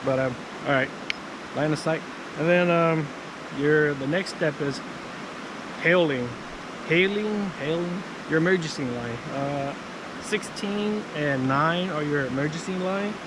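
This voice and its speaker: Casual male tutorial vlogger, higher register, stop-start and repetitive delivery, noisy open-air recording near water. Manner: halting, repeats himself, casual. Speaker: vlogger demonstrating handheld radios. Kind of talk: informal unscripted tutorial. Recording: noisy outdoor wind and water ambience.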